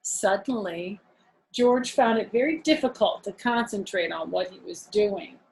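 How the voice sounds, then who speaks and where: Woman, children's storyteller, unhurried read-aloud, medium pitch, casual asides, explaining to young listeners. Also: creaky, darkish tone, slight background noise, low-quality recording.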